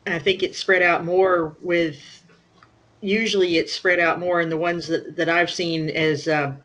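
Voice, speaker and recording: A woman's voice: on the low side, little pitch movement, measured pace, fairly bright tone. A woman on a panel speaking from home through a headset, hesitant and full of fillers. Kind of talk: casual panel discussion.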